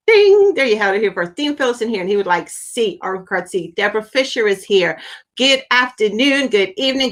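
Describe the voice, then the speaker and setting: A woman's voice: medium pitch, a bit bright. A middle-aged woman, a spiritual medium, chatting casually on a livestream in an upbeat way and greeting viewers by name. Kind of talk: casual live-stream chat.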